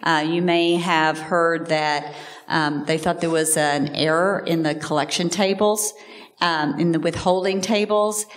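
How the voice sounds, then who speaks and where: A woman answering staff questions at an open forum, measured and hesitant with many fillers, in a meeting room. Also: lowish voice, fairly even intonation.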